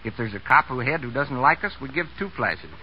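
A man's voice: mid-range voice, animated, fairly quick. An American man, a bus driver, speaking plainly and folksily as a guest in a scripted 1930s radio interview. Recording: dull, noisy 1930s radio recording.

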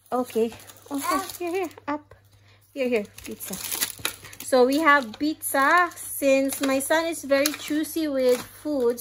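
A woman from the Philippines with a Filipino accent, chatting casually to viewers in her kitchen and often trailing off softly. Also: high voice, a little hiss or hum. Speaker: vlogger. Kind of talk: casual grocery haul chat. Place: home kitchen, indoors.

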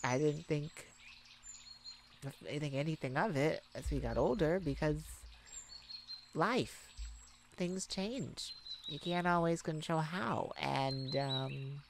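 A woman's voice: sits low, takes it slowly, pauses often, noisy background. A woman playing a kind, protective, flustered young mage in a romantic ASMR roleplay, hesitant and stammering. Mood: shy, tender. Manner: hesitant, stammering, soft-hearted. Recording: steady outdoor birdsong ambience behind voice.

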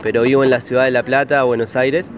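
A man's voice: mid-range voice, flat delivery, fast talker, slight background noise. A man in his twenties from Peru, talking casually in an outdoor interview into a handheld microphone. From Lima, Peru. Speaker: tattoo artist being interviewed. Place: outdoor patio. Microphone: handheld reporter microphone. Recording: dull sound with music behind. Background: music.

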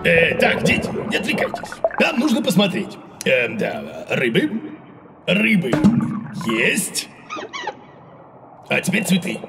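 An actor playing a boastful, bumbling bearded cartoon creature who talks in excited exclamations, with a creaky voice, for a children's show. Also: medium pitch, takes it slowly, long pauses, loud music behind the voice, exaggerated voice. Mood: eager, blustering. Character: blustering, bumbling, overconfident bearded male cartoon creature explorer. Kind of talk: children's educational cartoon acting.

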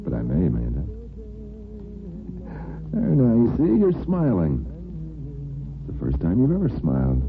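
An actor playing a smooth, persistent neighbor courting a woman in a vintage radio soap opera, with a suave, teasing, courtly manner. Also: fairly low pitch, wildly swooping pitch, measured pace, pauses often, heavily acted. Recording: lo-fi vintage radio, faint music.

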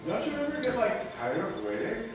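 A fussy, officious gatekeeper acted by a man in a stage comedy, with a very high, lively voice. He is heard from far off in a heavily echoing theater. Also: moderate pace, heavily acted. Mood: irritable, bored. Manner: exasperated, officious. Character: fussy, bored, irritable gatekeeper turned eager servant. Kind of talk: comic stage acting. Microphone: far camera. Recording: echoey theater from far off, music.

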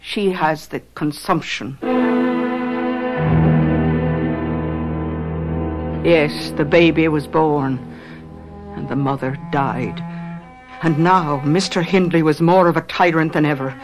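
An actress playing a sensible, plain-spoken housekeeper who narrates a radio drama, measured and matter-of-fact. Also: female voice, sits low, music in the background. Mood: grave. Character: sensible, plain-spoken housekeeper and confidante, older than her mistress. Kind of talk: radio drama acting and storytelling.

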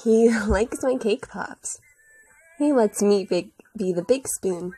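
A woman's voice: mid-range voice, slow, dark tone, breathy edge. A woman acting out dialogue as an amateur voice actor in a comic dub.

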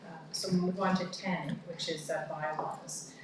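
A woman with a Canadian accent chairing a town council meeting, reading motions and calling votes in a brisk, procedural way. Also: deep voice, steady tone. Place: large council chamber. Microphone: far room mic. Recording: echoey room from far off, background noise.

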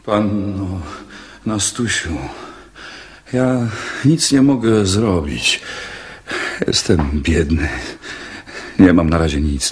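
A man playing a poor, courteous young lodger in love in a radio drama, halting, earnest and pleading. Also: deep voice, husky, full character voice.

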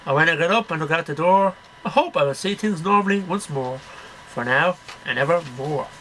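A man's voice: on the high side, expressive, a little fast, pushed and bright, a little hiss or hum, poor audio. A middle-aged man reading poems aloud in a declamatory, sing-song way, one line at a time. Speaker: amateur poetry reader. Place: small cluttered bedroom. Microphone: webcam at about arm's length.

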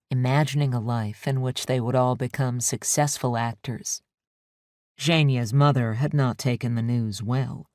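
A woman reading an audiobook in an even, measured storytelling manner. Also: extremely low pitch, no room sound. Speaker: audiobook narrator. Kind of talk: scripted narration. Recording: dry studio audiobook recording.

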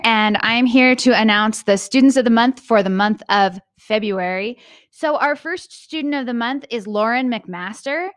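A friendly woman with a slightly high voice presents school awards on a handheld mic. The sound is dry and close, from a small indoor studio. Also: a bit bright, no echo. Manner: friendly, with fillers. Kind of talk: school announcements.

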